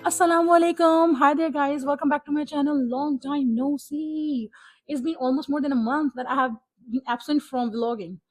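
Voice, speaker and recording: A woman from Pakistan whose first language is Urdu, chatting to camera at home in an exasperated, animated vlog style and laughing often. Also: high-pitched, moderate pace, low-quality recording. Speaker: vlogger. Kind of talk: casual vlog chat to camera. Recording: music playing faintly behind.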